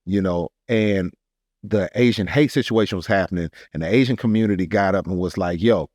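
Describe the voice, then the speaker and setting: An American man with a low voice chats as a podcast co-host in an informal, slangy way. He is animated and quick to affirm what is said. His voice sounds dry and free of room echo. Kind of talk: casual podcast discussion.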